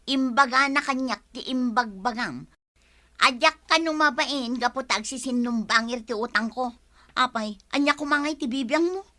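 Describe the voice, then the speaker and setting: Embattled mother, bright voice. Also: sits high, normal speed. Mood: indignant. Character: embattled wife and mother, quarrelsome yet forgiving. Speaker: radio drama actress. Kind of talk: Philippine radio drama acting.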